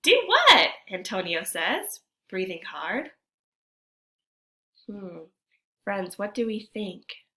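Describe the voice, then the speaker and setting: A woman reads a children's storybook aloud in the manner of a teacher. Her voice is bright and clear-cut, at a middle pitch. She is expressive and speaks directly to young listeners, guiding them with questions. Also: takes it slowly, long pauses.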